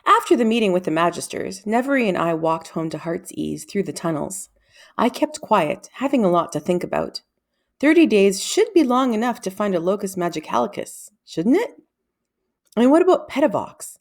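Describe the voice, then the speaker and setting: A woman's voice: medium pitch, big pitch swings, moderate pace. A woman reading a children's novel aloud as a scripted storybook narrator.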